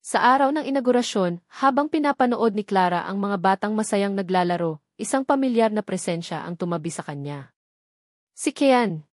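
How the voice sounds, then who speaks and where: A woman reading a scripted dramatic story in a measured, solemn storytelling manner. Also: mid-range voice, expressive, dry recording, studio quality. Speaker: story narrator.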